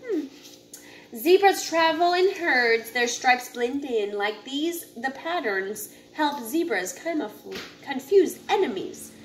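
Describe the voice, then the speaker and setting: A woman with a high voice reads a children's picture book aloud at an unhurried, slow pace. Her delivery is deliberate and instructive. It is a home recording with faint background noise. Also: fairly bright tone. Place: home living area with kitchen, indoors. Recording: noisy home recording, room ambience.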